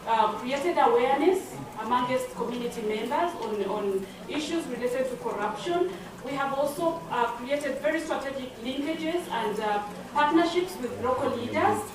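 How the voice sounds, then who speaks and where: Ugandan woman panelist, higher voice, hesitant with fillers, distant mic. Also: noisy background. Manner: hesitant, many fillers and repeated words. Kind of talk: panel presentation. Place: conference room. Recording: echoey hall, distant mic.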